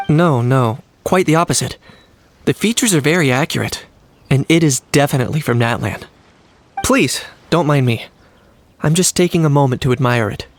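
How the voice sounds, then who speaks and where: An actor playing a composed young male weapons expert in a video game, measured and dryly understated. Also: mid-range voice, lightly acted. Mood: calm, analytical. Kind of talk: video game character acting.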